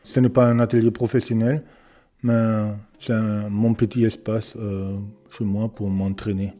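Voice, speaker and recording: German man, non-native French with German accent, halting delivery, slow, low dark voice, home workshop setting. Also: little pitch movement. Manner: hesitant, searching for words with many fillers. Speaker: electronics repair beginner presenting his channel. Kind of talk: casual self-introduction and workshop tour.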